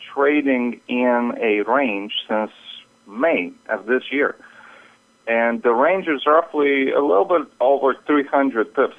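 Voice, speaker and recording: A man comes through a tinny, narrow phone connection. His voice sits at a medium pitch, and he explains like a market analyst being interviewed, working through points a little haltingly. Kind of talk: market commentary. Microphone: telephone. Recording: phone line with narrow, radio-like sound.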